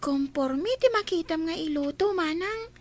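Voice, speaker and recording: A woman as a very high-voiced, eager young girl. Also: lively intonation, normal speed. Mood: sweet, eager. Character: sweet, eager young girl, the employer's daughter. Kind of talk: Ilocano radio-style drama acting.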